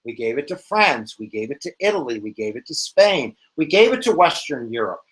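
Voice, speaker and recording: Lively, jokey American history teacher. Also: male voice, mid-range voice, brisk, poor audio. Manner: jokey, animated, slangy. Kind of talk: casual exam review lesson.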